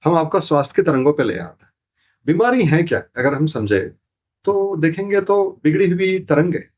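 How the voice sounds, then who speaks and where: Guy on a podcast explaining spiritual stuff, slightly high husky voice, keeps repeating and asking questions. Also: very animated, moderate pace, a little mellow. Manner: didactic, repeats phrases, asks rhetorical questions. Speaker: podcast guest, sound therapy teacher. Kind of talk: explanatory spiritual talk in an interview.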